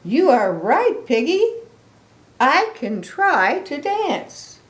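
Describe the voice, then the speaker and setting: A woman's voice: sits high, sing-song, extremely slow, pitched above the performer's own voice, exaggerated voice. A woman voicing an earnest, unsure but willing boy elephant, speaking in simple, emphatic declarations for a children's picture book. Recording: echoey room.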